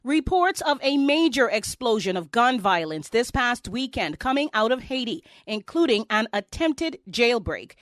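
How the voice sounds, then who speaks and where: A woman's voice: a little high, very animated, moderate pace, few pauses, no room sound. A woman anchoring a scripted news bulletin, reading reports in a formal presenting style.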